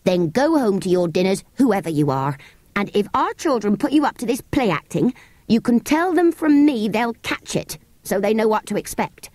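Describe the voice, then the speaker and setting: A woman's voice: mid-range voice, sing-song, normal speed, a little mellow, no echo, voice pushed up. A woman voicing a stern, indignant nursemaid who scolds emphatically in a storybook reading. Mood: scornful. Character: scolding, scornful English nursemaid, sharp and indignant.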